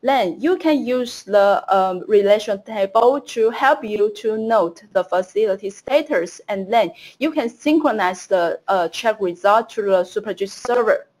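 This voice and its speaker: Woman, webinar demo, halting speech, lots of fillers. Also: mid-range voice, animated, a little mellow. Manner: hesitant, many fillers and restarts. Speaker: product demo presenter. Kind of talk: live software demo on a webinar.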